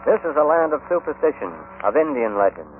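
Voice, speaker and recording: Dramatic vintage radio announcer, very animated man. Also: fairly high pitch, fast talker, a little hiss or hum. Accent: American. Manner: dramatic, declamatory. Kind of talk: 1950s radio drama announcing, scripted narration. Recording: muffled, narrow vintage radio recording.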